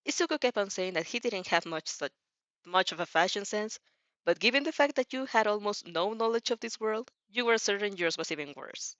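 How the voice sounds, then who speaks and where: A woman's voice: medium pitch, lively intonation, a little fast, a bit bright, no echo. Woman reading a story aloud, stumbling slightly. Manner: stumbles over words and rereads phrases. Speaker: amateur fan fiction reader. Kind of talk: storybook narration read aloud. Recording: dry, slightly dull lo-fi recording.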